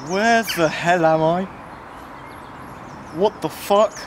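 A man's voice: high voice, takes it slowly, slight background noise. A young man acting in an amateur short film outdoors, bewildered and exasperated. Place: outdoors in a park. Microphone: distant camera mic.

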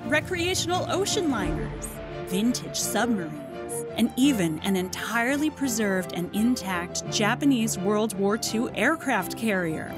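Actress as a pompous, swooping museum ad announcer. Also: female voice, a little high. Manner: pompous, dramatic pauses. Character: grandiose, darkly sinister female museum ad announcer. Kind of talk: scripted parody museum advertisement voice-over. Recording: music bed under the voice.

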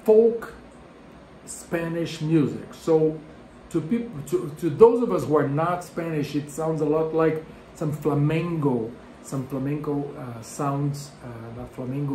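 A man in his fifties from Brazil with a Brazilian Portuguese accent, explaining music terms to the camera with lively gestures and self-deprecating asides. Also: a little high, a little hiss or hum. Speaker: music educator. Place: home room with bookshelves. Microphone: camera at arm's length. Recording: roomy home room with faint ambience.

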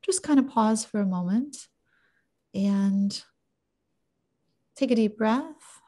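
A woman in her thirties co-hosting a podcast, guiding calmly in a conversational way. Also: fairly high pitch, takes it slowly. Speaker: podcast co-host. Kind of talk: calm guided check-in, conversational. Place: indoor office, video call.

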